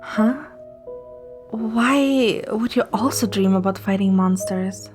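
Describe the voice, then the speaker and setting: A woman playing a playful, caring young woman who narrates a romantic story in the first person, in a gentle, soft manner. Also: medium pitch, takes it slowly, music in the background, natural voice. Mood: teasing. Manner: gentle, soft, sometimes whispering.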